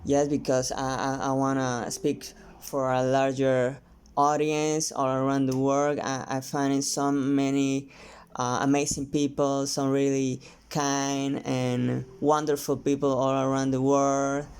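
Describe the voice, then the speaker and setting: A painter from Mexico with a Mexican Spanish accent, his first language Spanish, talking casually and haltingly to camera with many restarts. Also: mid-range voice, completely flat delivery, a little mellow, smooth. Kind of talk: casual vlog talk while painting.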